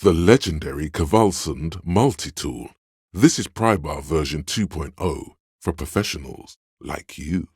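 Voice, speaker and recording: Brooding, wry inner-monologue game narrator; rumbling bass, heavy gravel, deliberate phrasing, dry close sound. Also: male voice, animated, darkish tone, no room sound, full character voice. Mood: wry, pleased. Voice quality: gravelly, husky, very deep. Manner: measured, deliberate, literary second-person narration.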